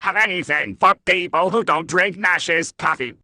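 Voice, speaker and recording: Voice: mid-range voice, normal speed, pushed and bright, no room sound, clean studio sound. A performer voicing a grumpy, stubborn cartoon character, blunt and contrary, in short comedy ad skits. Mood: demanding.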